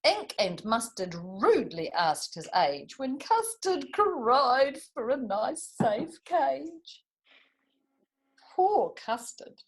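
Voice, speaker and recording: A woman reading picture books aloud to young children in an expressive way, with chatty asides and little tag questions. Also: high voice, slow, slightly rough, poor audio. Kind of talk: animated picture-book read-aloud with asides to young listeners.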